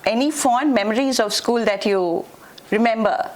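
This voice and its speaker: A woman hosting a television interview show, full of quick, effusive affirmations. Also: fairly high pitch, a little mellow. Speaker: talk show host.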